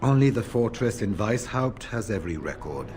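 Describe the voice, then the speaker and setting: Deep-voiced seasoned veteran warrior. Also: male voice, very animated, moderate pace, darkish tone. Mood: frank, grave. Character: seasoned, plain-spoken veteran warrior of a warden order. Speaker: game voice actor. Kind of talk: fantasy RPG dialogue. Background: game music.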